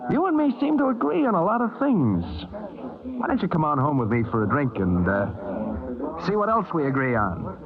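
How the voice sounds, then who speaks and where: An actor playing an outspoken, discontented civil defense volunteer in a vintage radio drama, with an insistent, folksy delivery. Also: male voice, a little high, big pitch swings, moderate pace, crowd noise. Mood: chummy, ingratiating. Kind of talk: 1950s radio drama acting. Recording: tinny old radio broadcast.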